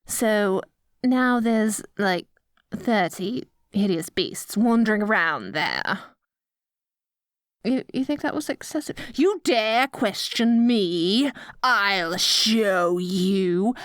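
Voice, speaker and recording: Evil queen for ASMR roleplay, voiced by a woman: adorkable, mock-grand, petty yet soft-hearted, very unhurried, dry recording. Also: mid-range voice, sing-song, no echo. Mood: awkward, defensive, then menacing. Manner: mock-villainous grandeur with awkward, stammering asides. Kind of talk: ASMR roleplay, addressing the listener directly.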